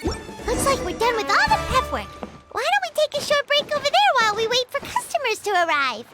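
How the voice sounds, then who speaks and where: Tiny chirpy fairy sidekick girl, video game, very squeaky, hugely animated delivery. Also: a little fast, over loud music, full character voice. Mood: cheerful. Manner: childlike, refers to herself by name. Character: small excitable floating fairy companion girl, chirpy.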